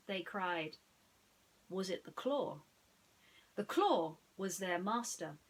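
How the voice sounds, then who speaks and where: A woman's voice: medium pitch, rough recording. A woman storyteller narrating a bedtime story in a chatty way, putting questions to her listeners. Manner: addresses listeners with rhetorical questions.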